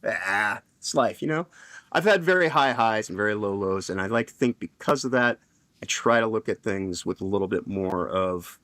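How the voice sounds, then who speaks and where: A man's voice: fairly low pitch, animated, normal speed, a bit bright, no echo. Rambling man telling a story, dry sound. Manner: rambling, many fillers and restarts. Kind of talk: casual panel chat, long personal story.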